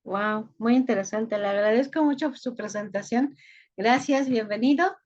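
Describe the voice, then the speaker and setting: Mexican woman teacher, chatty, filler-heavy, slightly high voice heard through a dull video-call line. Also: normal speed, darkish tone. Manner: chatty, frequent "este" fillers. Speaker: online course teacher. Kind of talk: online class, reading chat introductions. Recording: muffled lo-fi video-call audio.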